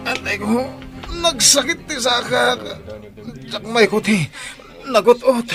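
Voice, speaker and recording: A man acts out a pitiful injured husband in a radio drama. He drags his words slowly and whimpers through them, with music clearly playing behind him. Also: very high voice. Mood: pained. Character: injured drunk husband, groaning, pitiful and apologetic.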